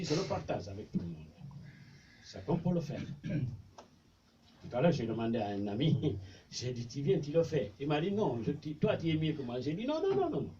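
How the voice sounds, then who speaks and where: A man's voice: medium pitch, warm tone. Senegalese man preaching from a distance. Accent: Senegalese French. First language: Mandinka. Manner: conversational and repetitive, recites Arabic verses. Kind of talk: informal religious talk. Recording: distant, dull lo-fi with faint noise.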